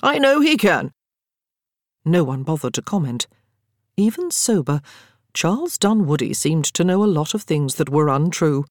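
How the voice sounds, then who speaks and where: An English woman narrates a scripted novel with wry poise. Her intonation is very animated and varied, her phrasing measured. The recording is dry and intimate, as in an audiobook studio. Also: sits low, dry recording.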